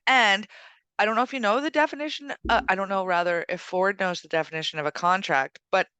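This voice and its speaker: A woman's voice: medium pitch, fairly quick, sharp tone, smooth, no echo. A woman co-hosting a current affairs chat, casual and opinionated, arguing emphatically point by point. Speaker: co-host of a weekend current affairs show.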